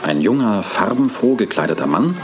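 A man narrates a children's radio play in a calm, storytelling manner. His voice is very low but moves with lively intonation. Music plays underneath. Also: moderate pace.

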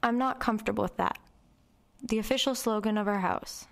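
A woman's voice: fairly high pitch, a little fast, vocal fry. A woman playing a wry, reflective narrator recalling her troubled girlhood in a confiding first-person dramatic narration.

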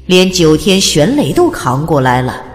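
Low-pitched, animated woman narrator. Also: unhurried. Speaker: audiobook narrator. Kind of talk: dramatic scripted fantasy narration. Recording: long reverb over music. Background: music.

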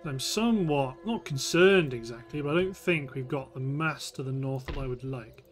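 A man's voice: mid-range voice, moderate pace, faint music behind. A British man giving casual let's play commentary on a strategy game, thinking aloud in short remarks. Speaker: gameplay host.

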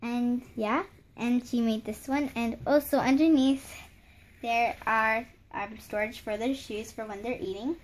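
Young girl, high-ish voice, chatty show-and-tell on a phone cam, light room hiss. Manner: chatty, rambling, many fillers and restarts. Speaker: vlogger showing a doll house. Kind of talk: casual show-and-tell narration. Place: home room beside a handmade doll house. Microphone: phone camera held close, hands in frame.

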